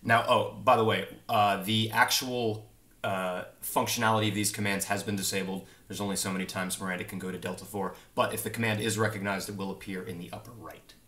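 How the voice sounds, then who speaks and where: Easygoing young man, lowish voice. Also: fairly even intonation, brisk, pushed and bright. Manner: easygoing, explanatory, frequent "uh" fillers. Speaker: game developer. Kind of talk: casual demo walkthrough. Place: small home office with a desk and monitor.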